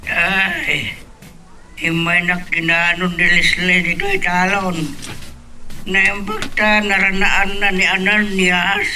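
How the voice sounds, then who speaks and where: A man's voice: high-pitched, flat delivery, slow pace, pushed and bright, faint music behind, exaggerated voice, poor audio. A man playing a father in an Ilocano radio drama. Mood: matter-of-fact. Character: father talking with his worried daughter.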